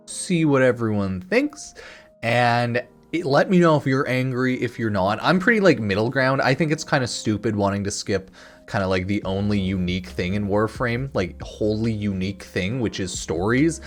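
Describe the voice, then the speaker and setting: Male gaming creator, somewhat low pitch, casual opinionated commentary, slangy and rambling, faint music bed underneath. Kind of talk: casual off-the-cuff news commentary.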